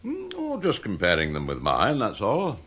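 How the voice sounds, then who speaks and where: An actor playing a proud, sardonic older office veteran with an English accent in a British radio drama, blunt and suspicious, given to muttered interjections. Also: male voice, lowish voice, wildly swooping pitch, moderate pace, fairly bright tone, breathy edge, exaggerated voice. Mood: grumbling, prying.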